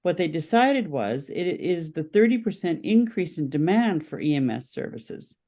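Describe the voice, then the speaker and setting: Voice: medium pitch, sing-song, moderate pace, mellow. A podcast guest, speaking as a political campaign representative and explaining positions in an interview.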